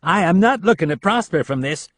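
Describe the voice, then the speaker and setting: A rigid young male air force captain, shrill and protesting, as a man voices him. His voice rides well above the narration and stays loud. The sound is dry and close. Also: animated, brisk, no room sound. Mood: indignant.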